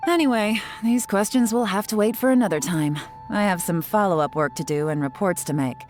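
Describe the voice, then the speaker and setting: A woman's voice: medium pitch, brisk, a little mellow, lightly acted. A woman playing a cool, shrewd, teasing secret operative in a video game, with a sly, knowing manner. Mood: brisk. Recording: music under the voice.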